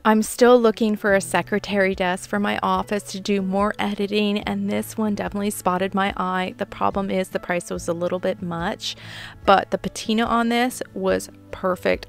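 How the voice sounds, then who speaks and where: A woman narrating a shopping vlog in a relaxed, gushing voice-over, with soft music playing behind her. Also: medium pitch, creaky. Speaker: vlogger.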